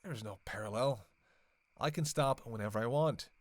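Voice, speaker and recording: A sardonic male vampire, played by a man in an audio roleplay, recorded close and dry. He talks in a casual, flirty, sarcastic way, needling his listener with sweary banter in a mid-pitched voice. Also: normal speed, no echo, close to an everyday voice. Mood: teasing. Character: sardonic, flirtatious, long-lived male vampire killer, playfully teasing. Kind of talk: casual roleplay audio acting, one side of a dialogue.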